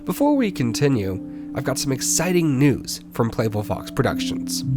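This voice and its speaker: A man, the artistic director of a theater company, reading upbeat promotional announcements. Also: mid-range voice.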